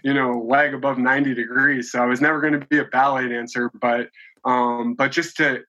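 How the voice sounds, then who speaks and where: A man's voice: mid-range voice, little pitch movement, fairly quick, pushed and bright, lo-fi sound. A man in his late twenties, a podcast guest, talking in a chatty, rambling way with many restarts and fillers. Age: 20s. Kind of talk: casual conversational interview.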